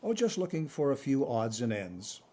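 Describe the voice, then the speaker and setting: A man's voice: medium pitch, sing-song, moderate pace, warm tone, a little hiss or hum, lightly acted. A man playing a genteel, evasive wandering adept in an audio adventure, with a breezy manner.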